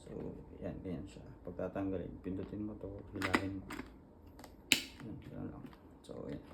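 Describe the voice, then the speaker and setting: A man with a Filipino accent giving a casual hands-on tutorial, talking informally and haltingly as he works through each step. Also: low-pitched, little pitch movement, very noisy. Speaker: vlogger demonstrating a product installation.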